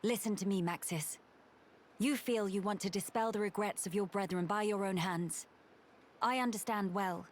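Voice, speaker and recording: A woman playing a composed young crown princess in a video game, speaking with formal, measured dignity. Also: mid-range voice, slight background noise, natural voice. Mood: firm, resolute. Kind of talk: video game cutscene acting.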